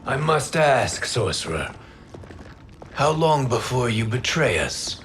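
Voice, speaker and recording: An actor playing a proud male wind god in a video game cutscene, measured and formal with a wry edge. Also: mid-range voice, very animated, fairly bright tone. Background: game score music.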